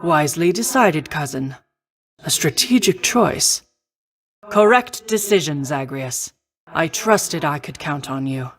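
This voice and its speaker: A woman playing a noble, protective war goddess in a video game, speaking in formal, measured, old-fashioned phrasing. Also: deep voice, lively intonation. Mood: composed, encouraging. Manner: formal, measured, archaic stately diction. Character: noble female war goddess, poised, protective, confident mentor. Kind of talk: scripted video game voice acting.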